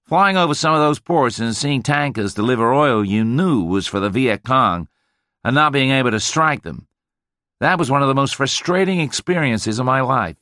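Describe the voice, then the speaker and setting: A man voicing a brash, boastful veteran, loud and amazed at himself. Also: medium pitch, lively intonation, moderate pace, no echo, clean studio sound, voice pushed up. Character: brash, boastful American male Vietnam veteran.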